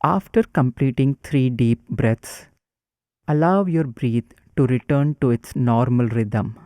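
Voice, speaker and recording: Male meditation guide, warm dark tone, unhurried calm instruction. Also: mid-range voice, no echo.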